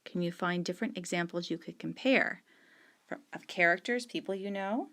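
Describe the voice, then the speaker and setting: A woman's voice: lowish voice, moderate pace, fairly bright tone. A middle-aged woman teacher patiently explaining discussion questions to students, stressing key words while she marks up the page with a pen. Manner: annotating on screen with a pen while talking.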